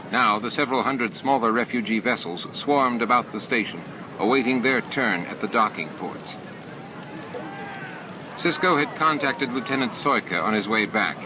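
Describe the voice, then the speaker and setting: Man narrating fiction, muffled noisy recording. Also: medium pitch. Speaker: audiobook narrator. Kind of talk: scripted narration of a science fiction novel. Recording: dull lo-fi tape with clear background noise. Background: added sound effects and ambience.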